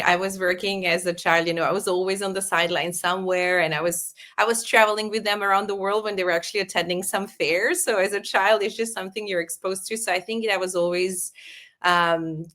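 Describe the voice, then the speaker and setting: A woman's voice: medium pitch, fairly even intonation, brisk, fairly bright tone. A middle-aged woman from Serbia, a podcast guest, talking thoughtfully with many small restarts. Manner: thoughtful, with frequent restarts and fillers. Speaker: podcast guest, agency founder. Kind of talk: casual interview talk.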